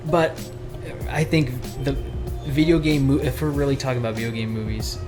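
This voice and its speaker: A man's voice: medium pitch, brisk, music in the background. A man chatting casually on a podcast, often correcting himself as he explains his point. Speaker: podcast guest.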